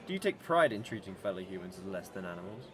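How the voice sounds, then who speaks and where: Man acting a quick-spoken, mysterious immortal stranger. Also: deep voice, big pitch swings, a crowd in the background. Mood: aloof, questioning. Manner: formal, measured wording, aloof. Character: mysterious ageless immortal stranger, proud, coolly probing. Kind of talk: radio drama acting.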